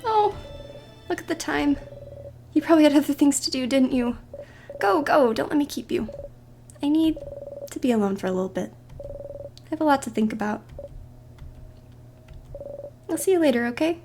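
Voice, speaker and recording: A gentle, considerate young woman from a video game, as a woman plays her, with a high voice. She is polite and apologetic, always putting the other person first. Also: animated, normal speed, long pauses, faint music behind. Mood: gently embarrassed.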